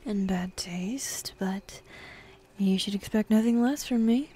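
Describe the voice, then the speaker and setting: A bossy, teasing demon woman, played by a young woman in an ASMR roleplay. She speaks slowly and intimately, half-whispering in a dark, breathy voice. Her drawl is sardonic and dominant, as if toying with her plaything. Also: mid-range voice. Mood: coaxing, playful. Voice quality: breathy, husky.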